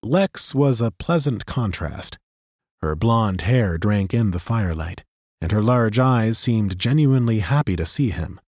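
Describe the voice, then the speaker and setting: Low husky male audiobook narrator. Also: no room sound, clean studio sound. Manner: measured, steady storytelling. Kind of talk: scripted fantasy narration.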